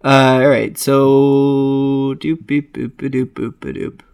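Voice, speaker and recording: A man's voice: mid-range voice, level tone, slow, creaky, darkish tone, clear, resonant tone. A man co-hosting a podcast in casual chat, rambling with frequent restarts and filler words. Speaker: podcast co-host.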